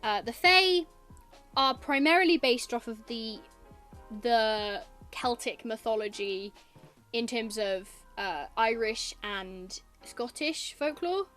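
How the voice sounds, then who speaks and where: A woman's voice: a little high, animated, slow, fairly bright tone, soft background music. A young Welsh woman chatting casually on a podcast about her writing, rambling and trailing off with fillers. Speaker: podcast guest, author. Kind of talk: casual chat.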